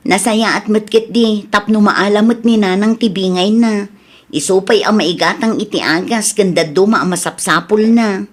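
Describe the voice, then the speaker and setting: A woman's voice: mid-range voice, moderate pace, natural voice. A woman playing an earnest, worried adult daughter in an Ilocano radio drama.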